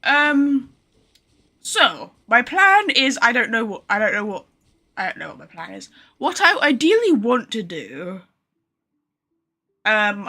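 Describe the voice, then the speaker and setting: Bright-voiced woman streamer, chatty and rambling. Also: mid-range voice. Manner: chatty, rambling, self-deprecating. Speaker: game streamer. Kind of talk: casual live-stream chat.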